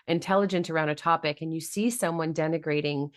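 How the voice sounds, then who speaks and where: A woman's voice: deep voice, quick pace. A woman hosting a podcast, thinking aloud and asking long, reflective questions in a casual interview. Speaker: podcast host.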